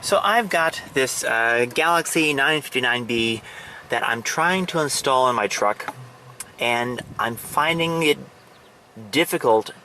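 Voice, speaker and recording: A man giving a casual, halting show-and-tell of a hobby setup, pausing and restarting as he explains. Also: mid-range voice, lively intonation, sharp tone, slight background noise. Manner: hesitant, halting, fragmented delivery. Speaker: amateur radio hobbyist demonstrating his setup. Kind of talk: casual demonstration vlog. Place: outdoors and in a parked vehicle in a residential neighborhood.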